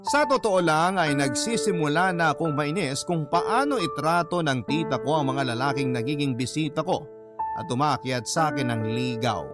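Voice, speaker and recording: Male reader as heartfelt letter narrator, man, Filipino accent, scripted storytelling, fairly high male pitch, music bed. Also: natural voice. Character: narrator voicing a young woman's heartfelt letter.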